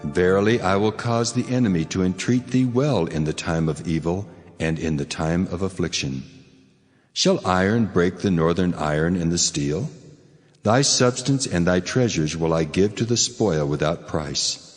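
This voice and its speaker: An actor playing God as a stern, solemn and mighty deity, speaking in grave, measured declamation in a scripture drama. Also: male voice, sits low, natural voice. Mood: stern judgment. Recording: long cavernous reverb over music.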